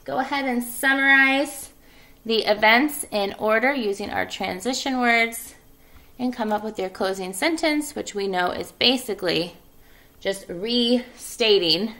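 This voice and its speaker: A woman's voice: a little high, measured pace, sharp tone. A woman, an elementary school teacher, reading a children's story aloud and giving instructions to her class.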